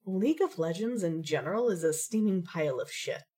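A woman's voice: lowish voice, lively intonation, a little fast, dark tone, breathy edge. A woman playing a dry, haughty young female gamer with a wry, precise and superior way of speaking. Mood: disdainful.

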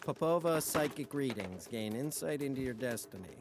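A man's voice: medium pitch, animated, normal speed, over music, natural voice. A man playing a blunt, incredulous office best friend in a comic audio drama. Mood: bemused. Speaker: actor.

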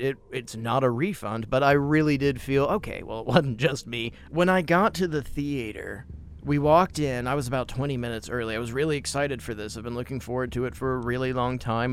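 A man's voice: medium pitch, a little hiss or hum. A man hosting a conversational film podcast, speaking in an emphatic, opinionated way. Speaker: podcast host.

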